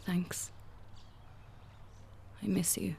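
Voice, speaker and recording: A woman with an Irish accent playing a fragile, hopeful young woman recovering from a crisis, speaking haltingly in a naturalistic radio drama. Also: mellow, slight background noise. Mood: gentle, hopeful.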